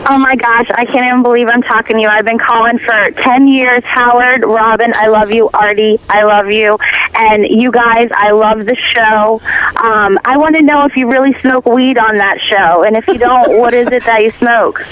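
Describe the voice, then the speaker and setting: Excited woman on a dull phone line. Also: a little high, moderate pace, a little hiss or hum. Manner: gushing, excited fan. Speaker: caller.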